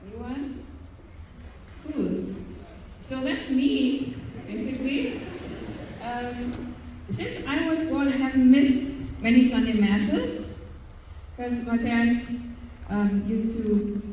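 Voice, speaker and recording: A woman with a German accent, speaking in a mellow, somewhat high voice. She gives her testimony earnestly and hesitantly, pausing often. Her voice reaches the mic from a distance in a large hall with long reverb. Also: slight background noise. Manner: earnest, hesitant, with frequent pauses and fillers. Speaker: religious sister giving a testimony. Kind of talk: personal faith testimony to young people. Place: large hall at a youth event. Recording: echoey hall, voice from far off.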